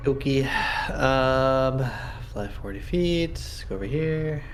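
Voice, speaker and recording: A man's voice: mid-range voice, expressive, slow pace, soft background music. A man chatting casually as a player in a tabletop roleplaying game.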